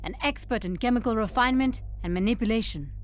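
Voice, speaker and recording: Woman as a higher-voiced, sardonic agency operative. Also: noisy background, lightly acted. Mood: sardonic briefing. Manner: brisk, sardonic, no-nonsense. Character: tough, sardonic female agency intelligence officer, confident. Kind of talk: video game mission briefing. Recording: loud music behind the voice.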